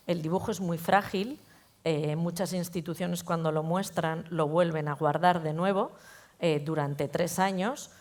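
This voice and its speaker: A woman's voice: on the low side, a little mellow. A woman museum curator introducing an exhibition talk, explaining in an informal lecturing way. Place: museum auditorium.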